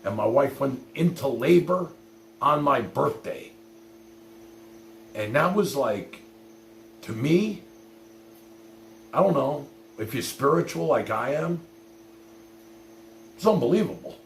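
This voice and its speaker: Gravelly middle-aged man, rambling and blunt. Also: mid-range voice, lively intonation, slow pace, long pauses. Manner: blunt, rambling, peppered with swearing and "you know". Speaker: livestream host. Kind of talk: casual chat, personal storytelling to live viewers. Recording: roomy echo with faint hum and noise.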